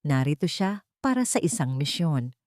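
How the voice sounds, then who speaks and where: A woman's voice: low voice, big pitch swings, normal speed, darkish tone, dry recording, studio quality. A woman with a Filipino accent narrating a dramatic story from a script. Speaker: audiobook narrator.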